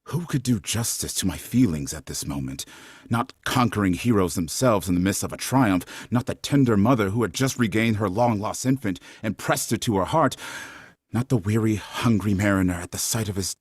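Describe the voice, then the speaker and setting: An actor playing an enraptured freed man telling his story in a fervent, declamatory stage reading, with a husky voice. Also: lowish voice, lively intonation, moderate pace, no echo, studio quality. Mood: overwhelmed rapture. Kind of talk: theatrical dramatic reading.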